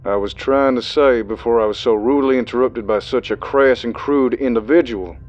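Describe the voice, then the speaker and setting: A man's voice: medium pitch, brisk, a little mellow, muffled recording, voice pushed up, natural voice. A man voicing an older male official who announces in a formal, bureaucratic way.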